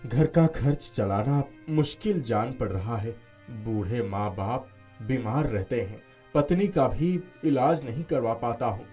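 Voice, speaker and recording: A man voicing a poor, good-natured village farmer in an earnest, expressive storybook delivery. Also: medium pitch, unhurried, soft background music, lightly acted. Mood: weary worry.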